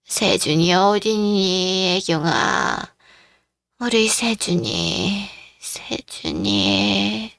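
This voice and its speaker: A woman's voice: medium pitch, very animated, drawn-out words, gravelly, a bit bright, no echo, studio quality, full character voice. A woman playing a frail, confused elderly mother-in-law, tender and longing, in a radio-drama style story.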